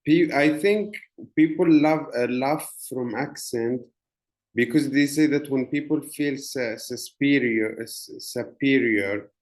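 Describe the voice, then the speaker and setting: A man's voice: mid-range voice, takes it slowly. A man with an Arabic accent, speaking English as a second language, hosting a podcast interview in a reflective, musing way. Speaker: podcast host.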